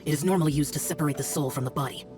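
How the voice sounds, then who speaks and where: Guy acting a cold aloof young immortal fighter, formal, clipped, quick talker, game music behind. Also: fairly high pitch, natural voice. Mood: solemn, instructive. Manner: curt, formal, archaic phrasing. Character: stern, aloof young male immortal demon slayer. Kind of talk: video game character acting.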